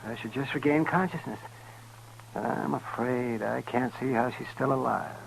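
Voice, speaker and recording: An actor playing a cautious, fretful town doctor in a radio western, hesitant and muttering. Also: male voice, mid-range voice, normal speed, creaky, close to an everyday voice. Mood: resigned. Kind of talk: 1950s radio western acting. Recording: noisy 1950s radio broadcast recording.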